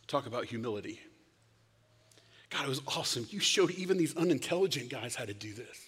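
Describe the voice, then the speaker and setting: A man preaching a sermon, telling stories with loud bursts and sudden hushed whispers. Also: mid-range voice, expressive, brisk, slightly rough. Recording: long hall reverb.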